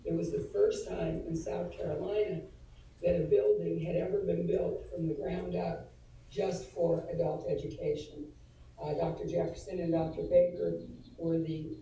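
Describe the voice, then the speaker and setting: A woman speaks on a video call, her voice very dark and mellow and a bit hoarse from allergies. She talks in an earnest, halting way, with many fillers and restarts, as she pays tribute to a colleague. She sits back from the mic in a strongly echoing room with faint background ambience. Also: low voice, recorded from a distance, a little hiss or hum. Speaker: adult education administrator presenting to school board. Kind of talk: formal tribute presentation at a virtual board meeting.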